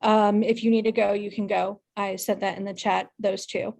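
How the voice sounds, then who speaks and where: A woman with a fairly flat, creaky delivery makes casual announcements to a group on a video call. She explains things in a matter-of-fact way. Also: mid-range voice, normal speed, slight background noise. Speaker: production coordinator making announcements.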